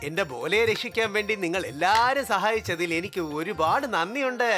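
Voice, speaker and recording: A man's voice: high-pitched, lively intonation, brisk. A man playing an anxious, devoted guru with a dramatic, pleading way of talking in a children's cartoon. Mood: fond, grateful. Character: anxious, devoted male guru, fond of his friend. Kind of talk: dubbed children's cartoon acting. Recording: loud music behind the voice.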